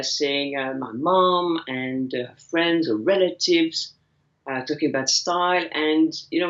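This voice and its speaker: A woman from France with a French accent, a guest speaking in English on a video call, pausing often with fillers. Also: sits low. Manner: hesitant, many fillers. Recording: dull lo-fi call audio.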